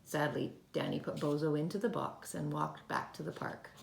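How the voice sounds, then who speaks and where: A woman reading a children's storybook aloud in an expressive way, talking directly to young listeners. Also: sits low, normal speed, creaky, slight background noise.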